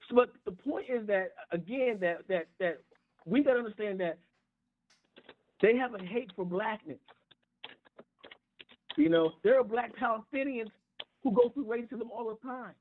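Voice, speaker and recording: A man speaks as a panelist on a call-in talk show, heard through a narrow, tinny phone connection. He is impassioned and emphatic, often doubling back and repeating words as he builds his point. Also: extremely high pitch. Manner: repetitive, halting restarts, emphatic. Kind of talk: impassioned discussion. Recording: tinny phone conference line.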